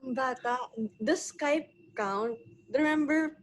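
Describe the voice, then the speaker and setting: A nineteen-year-old woman from the Philippines, a podcast guest chatting casually in lively, teasing English and Filipino. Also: high-pitched, slow.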